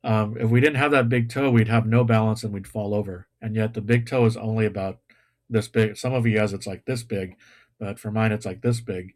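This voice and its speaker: A man preaching a sermon with a somewhat low voice. He talks in a loose, conversational way and makes self-deprecating jokes and pop-culture references. He is speaking to a congregation gathered online. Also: steady tone, brisk. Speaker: pastor. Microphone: video call or livestream.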